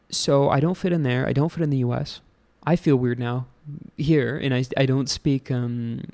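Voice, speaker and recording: Young american dude ranting off the cuff, gravelly voice, swears a lot, lots of ums. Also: male voice, medium pitch, darkish tone. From United States. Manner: rambling, profane, many fillers and restarts. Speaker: show host. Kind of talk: casual solo rant.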